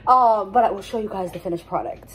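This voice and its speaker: A woman's voice: mid-range voice, fast talker, a little hiss or hum, rough recording. Chatty young woman vlogging. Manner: chatty, lots of "like" and restarts. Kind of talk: casual vlog chat. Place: home kitchen.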